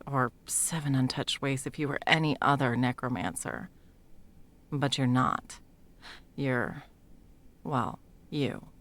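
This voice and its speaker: A woman playing a sardonic, foul-mouthed sound mage in an intimate fantasy audio roleplay, talking sarcastically and emphatically with a creaky edge. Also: extremely low pitch, fairly bright tone, full character voice. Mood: grim, regretful. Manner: sarcastic, emphatic, swearing freely. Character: sardonic, foul-mouthed female sound mage, guiltily helping an old friend.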